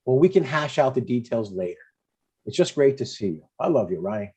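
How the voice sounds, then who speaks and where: A man's voice: mid-range voice, big pitch swings, brisk, mellow, husky, highs cut off. A man playing a chatty, stubborn older father in a comedy table read, in a conversational, mildly needling way. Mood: breezy, coaxing.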